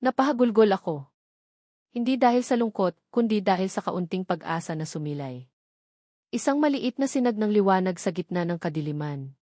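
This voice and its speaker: A woman's voice: mid-range voice, expressive, normal speed, mellow, dry recording, clean studio sound. A woman reading a scripted first-person love story as dramatic narration, in an emotive, sentimental storytelling manner.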